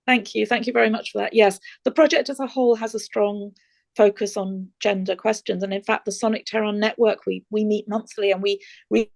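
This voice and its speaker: Woman lecturer on a video call, gravelly voice, dry sound, thoughtful, pauses with fillers as she answers. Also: medium pitch, steady tone, a little mellow, no room sound. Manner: thoughtful, hesitant with many fillers. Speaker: guest lecturer. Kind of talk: answering audience questions.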